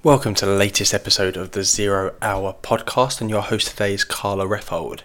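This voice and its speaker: A man's voice: lowish voice, fairly even intonation, fairly quick, a bit bright, soft background music. A man announcing a podcast intro in a scripted, upbeat way.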